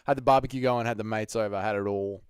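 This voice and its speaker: A young Australian man hosting a live stream, chatting with viewers in excitable, slangy, sweary banter. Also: fairly low pitch, quick pace, clean tone, no echo, clean studio sound. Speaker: streamer host.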